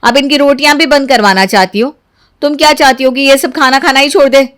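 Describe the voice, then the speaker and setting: A woman's voice: sits high, brisk, fairly bright tone. A woman storyteller voicing a jealous, argumentative young housewife who complains and mocks her in-law. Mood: scolding, boastful. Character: jealous, sarcastic elder daughter-in-law, young adult housewife.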